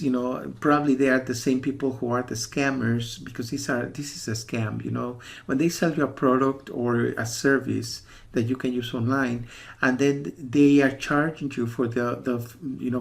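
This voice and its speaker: Male reviewer from the US, mid-pitched with a creaky, gravelly edge; rambling, insistent, repetitive, casual warning delivery. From USA. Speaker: software reviewer.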